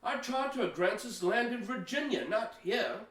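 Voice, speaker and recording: Man acting a very high-voiced pious Pilgrim. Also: very animated, moderate pace, fairly bright tone, full character voice. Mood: alarmed, insistent. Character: pious, anxious male Pilgrim leader. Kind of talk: historical radio play acting. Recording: roomy, echoing room sound.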